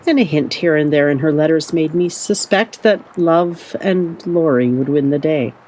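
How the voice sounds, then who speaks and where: Actress as a low-voiced, gentle mother. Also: moderate pace, slight background noise, close to an everyday voice, rough recording. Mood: calm, careful. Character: gentle, wise, middle-aged mother. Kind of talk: audio play acting.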